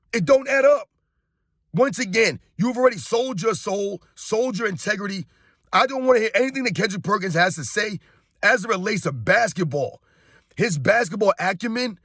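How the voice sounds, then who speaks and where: A hoarse man in his thirties rants about sports straight to his viewers, emphatic and repetitive. His voice sits fairly high for a man, and the recording is close and dry with no room sound. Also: a bit bright, no room sound. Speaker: sports commentary YouTuber. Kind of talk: casual opinion rant to camera.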